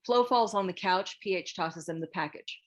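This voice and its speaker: Female narrator, slightly low register, scripted screenplay narration, table read. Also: moderate pace.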